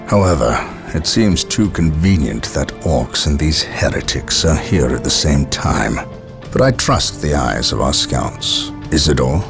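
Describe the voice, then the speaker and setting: An actor playing a stern, commanding space marine captain in a video game, speaking formally and with authority. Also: male voice, extremely low pitch, creaky, full character voice. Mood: grave. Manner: formal, authoritative military delivery. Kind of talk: dramatic video game acting and briefing. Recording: music behind.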